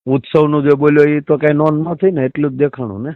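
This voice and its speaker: A man's voice: a little high, little pitch movement, fast talker. Saurashtra man on a dull phone recording. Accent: Gujarati, Saurashtra. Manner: brief, repeated acknowledgements. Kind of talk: casual phone conversation. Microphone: telephone.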